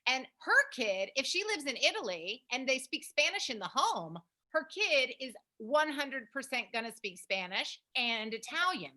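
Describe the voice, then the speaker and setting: High, bright-voiced American woman, emphatic. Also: normal speed, hardly any pauses. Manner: animated, emphatic, repeats points. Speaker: host, language program founder. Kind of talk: casual advice discussion.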